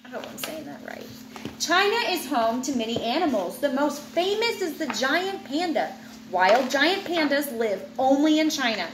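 A woman in her thirties reading a children's nonfiction book aloud at home, clear and steady, stumbling over hard names and adding small asides. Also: high-pitched, normal speed, reverberant, background noise. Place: home living room with ceiling fan. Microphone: webcam at desk distance.

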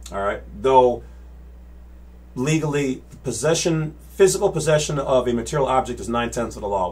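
Guy in his 40s explaining stuff from his home office on a webcam, keeps going "okay? all right?", hands moving. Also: medium pitch. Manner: gestures with his hands while he talks. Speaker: channel host. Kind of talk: casual explainer to camera. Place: home office with bookshelves and monitors. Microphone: webcam at desk.

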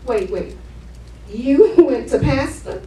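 A woman playing an exasperated but caring wife in a stage play, emphatic and expressive. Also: sits high, slow pace. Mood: surprised, concerned. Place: living-room stage set indoors. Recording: roomy, distant camera mic, background noise.

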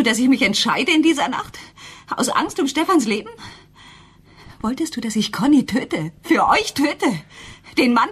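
Husky, distraught, accusing wife. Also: female voice, sits high, full character voice. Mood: anguished, accusing. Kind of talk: German radio crime drama acting.

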